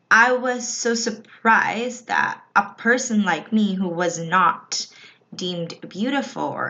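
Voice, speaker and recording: A woman from the Philippines vlogging in a casual, reflective way, often pausing and restarting her thoughts. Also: on the high side, bright, forceful tone. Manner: slow, reflective, with frequent restarts. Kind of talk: personal casual vlog talk. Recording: slightly dull lo-fi recording.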